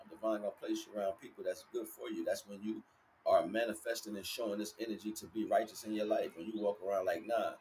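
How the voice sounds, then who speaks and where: A man's voice: on the low side, slight background noise, low-quality recording. A man giving a rambling motivational spiritual talk in casual, sweary street slang, emphatic and repeating his phrases. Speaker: livestream spiritual teacher.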